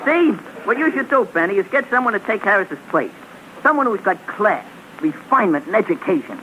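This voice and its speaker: A man's voice: sits high, very animated, fairly quick. An actor playing a brash, cocky, uneducated man who boasts of having class, in a 1940s radio comedy. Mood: boastful. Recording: muffled 1940s radio broadcast, noisy.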